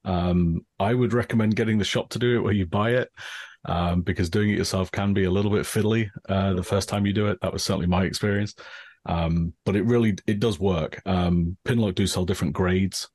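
A middle-aged man with an English accent, a guest calmly explaining gear with frequent fillers. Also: low voice, brisk, no room sound. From northern England. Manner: relaxed, explanatory, with many fillers. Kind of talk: casual explaining.

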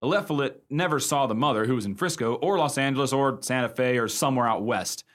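An actor playing a genial husband spinning a ghost yarn in a conversational, expressive way for a radio play. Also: male voice, mid-range voice, fast talker, lightly acted. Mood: amused storytelling. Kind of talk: radio drama storytelling.

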